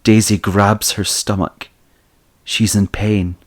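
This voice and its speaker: Male narrator for audio drama, low-pitched, scripted storytelling. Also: level tone, slow.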